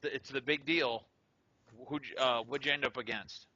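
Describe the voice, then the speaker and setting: A man's voice: mid-range voice, fairly even intonation, moderate pace, sharp tone, no room sound. A man hosting a casual interview, upbeat and joking, with many fillers. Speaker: show host interviewing a tournament winner. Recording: lo-fi recording.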